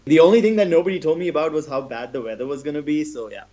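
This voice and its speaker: A young man from India, a guest in a casual interview, relaxed and chatty, laughing often. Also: on the high side, racing, highs cut off.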